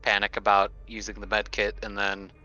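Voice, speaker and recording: A man's voice: fairly low pitch, monotone, fairly quick, pushed and bright, soft background music. A man playing a tabletop role-playing game, chatting casually, on a muffled lo-fi voice channel.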